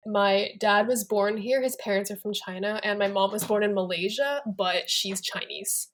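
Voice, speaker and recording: A woman's voice: mid-range voice, moderate pace. A young woman from the United States, talking casually in a documentary interview, with lots of "like". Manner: casual, frequent "like" fillers.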